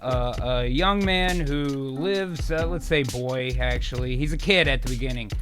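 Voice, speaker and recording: A man hosting a casual live stream, brainstorming out loud in a halting way, trailing off into hums as he mulls over words. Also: mid-range voice, music in the background. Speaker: host of a live streamed improv show. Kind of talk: casual brainstorming, thinking aloud.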